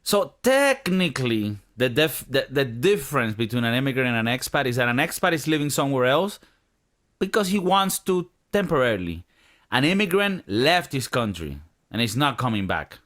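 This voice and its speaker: Animated, swearing podcast host. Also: male voice, mid-range voice, moderate pace. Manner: profane, joking, animated storytelling. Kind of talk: casual podcast chat.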